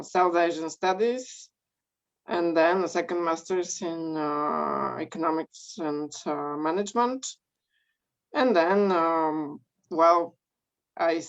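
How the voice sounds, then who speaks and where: A woman from Bulgaria, telling her career story as a guest in an online interview, measured with many pauses. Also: low-pitched. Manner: measured, frequent ums and pauses. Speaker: guest speaker. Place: home or office in Belgium. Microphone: video call. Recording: dull lo-fi call audio.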